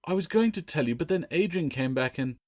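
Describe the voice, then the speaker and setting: An earnest young girl navigator from a sea-fantasy audiobook, acted out by a man. The pitch is lifted well above narration, and the manner is pleading yet firm. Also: animated, a little fast, no room sound, clean studio sound, natural voice.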